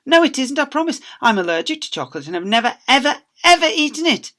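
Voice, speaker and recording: A man's voice: very high voice, very animated, fast, bright, forceful tone, voice pushed up, exaggerated voice. A man voicing a young schoolgirl in a storybook, earnestly protesting her innocence. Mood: pleading. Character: young schoolgirl pleading innocence to a bully adult.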